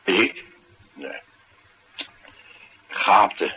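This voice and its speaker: An actor playing a wry, drowsy, laconic man in a naturalistic Dutch radio drama, with a husky voice. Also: on the low side, wildly swooping pitch, very slow, very noisy, heavily acted. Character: wry, drowsy, laconic male house guest.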